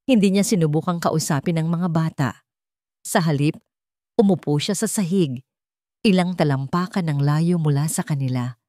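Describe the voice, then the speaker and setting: A woman's voice: deep voice, normal speed, dark tone, dry recording, studio quality. A woman narrator reading a scripted romantic drama in a storytelling style.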